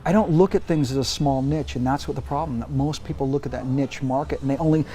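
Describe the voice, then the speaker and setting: A man in his forties giving casual interview answers, emphatic and animated, often restarting his sentences. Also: medium pitch, quick pace. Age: 40s. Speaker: interview guest, brand executive.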